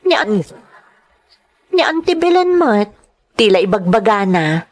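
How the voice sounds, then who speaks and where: An actress playing a dutiful, respectful young daughter and new teacher in an Ilocano radio drama, speaking politely with a Filipino accent. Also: female voice, mid-range voice, big pitch swings, unhurried. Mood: polite, uneasy. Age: young adult.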